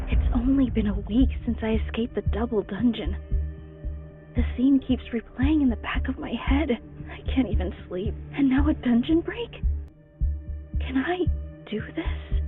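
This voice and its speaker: An actress playing an anxious but determined young female hunter in a video game, with an earnest, urgent delivery. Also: sits high. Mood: anxious, resolute. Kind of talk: dramatic video game voice acting. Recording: tinny narrow-band sound, music behind.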